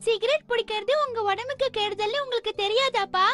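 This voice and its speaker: A woman voicing an expressive, pleading little schoolgirl in a dubbed cartoon. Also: very high-pitched, fairly quick, soft background music. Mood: earnest. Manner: childlike, expressive. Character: schoolgirl, small, pleading and wheedling.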